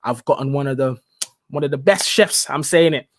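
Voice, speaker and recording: A man's voice: medium pitch, normal speed, fairly bright tone, dry recording. A man hosting a casual interview show, enthusiastic and repeating words for emphasis. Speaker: host.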